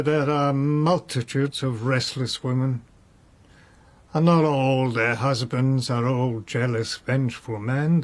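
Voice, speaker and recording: A man's voice: mid-range voice, lightly acted. A man voicing an older court noble, wry and whimsical, dodges with irony; radio play. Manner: musing, playful, gently ironic. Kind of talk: British radio drama acting.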